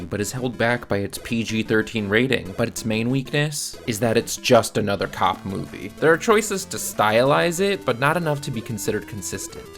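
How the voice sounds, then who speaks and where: A man giving scripted film review commentary in a blunt, exasperated, sardonic way, with a gravelly creak. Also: fairly low pitch. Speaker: video reviewer.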